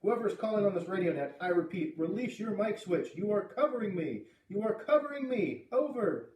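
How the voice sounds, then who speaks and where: A man's voice: high-pitched, big pitch swings, brisk, a little mellow, voice pushed up. A man voicing a male radio operator in an audiobook, giving formal, insistent instructions in clipped procedural phrases. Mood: urgent commanding. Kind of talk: audiobook character voice. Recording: echoey room, distant from the microphone.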